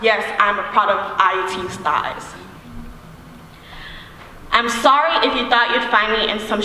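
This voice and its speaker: A young woman performing spoken word poetry on a theater stage, declaiming emphatically with deliberate repetition. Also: medium pitch, pushed and bright. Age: young adult. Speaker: student spoken word poet. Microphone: microphone on a stand. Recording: very echoey hall, distant, faint noise.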